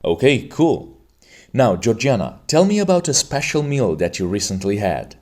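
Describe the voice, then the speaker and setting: A man hosts an English exam-prep podcast and acts as examiner. His delivery is formal and teacherly, and his pitch moves a lot. His voice sits at a middle pitch for a man. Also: very animated, moderate pace, a little mellow. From Romania.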